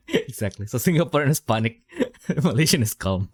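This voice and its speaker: A man's voice: mid-range voice, wildly swooping pitch, moderate pace, slightly rough, no room sound. A young man, hosting a podcast in casual, rambling chat full of fillers and restarts. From Indonesia. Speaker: podcast host.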